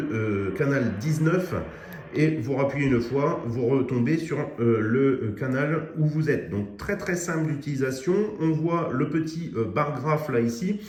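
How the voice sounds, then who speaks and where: A man's voice: mid-range voice, darkish tone. Man casually demoing a CB radio. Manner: chatty, many fillers, addresses viewers directly. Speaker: radio equipment reviewer. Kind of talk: casual product demo. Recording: echoey room, faint noise.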